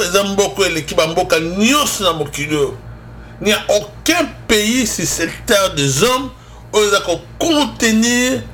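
A man's voice: high-pitched, a bit bright, a little hiss or hum. A Congolese man with a Congolese accent hosting a religious radio show, preaching conversationally and emphatically as he switches between two languages. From Democratic Republic of the Congo. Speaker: religious broadcast host and preacher.

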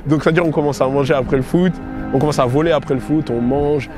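A man's voice: fairly high pitch, brisk, music in the background. A young man tells his own story casually, in a slangy, conversational manner full of check-in tags. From France (Paris area) and England (London). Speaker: storyteller recounting own life. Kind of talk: casual autobiographical storytelling to camera.

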